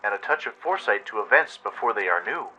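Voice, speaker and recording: A man voicing a calm, cryptic zebra in a radio play, speaking flatly in measured rhyme. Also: on the low side, highs cut off. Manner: flat, rhyming, measured. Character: rhyming mystic zebra companion, calm and cryptic.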